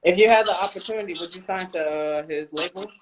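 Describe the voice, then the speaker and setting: A woman's voice: on the low side, fast talker, background noise. A woman hosting a casual chat interview, speaking informally with some slang. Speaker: host, interviewer.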